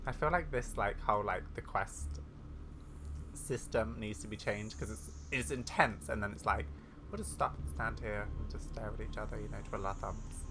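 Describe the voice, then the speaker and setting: A woman giving excitable, chatty playthrough commentary on a video game, with gushing asides. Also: extremely low pitch, moderate pace. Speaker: gaming video host. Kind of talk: excitable playthrough commentary mixed with reading game dialogue aloud. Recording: home recording with keyboard clicks and noise.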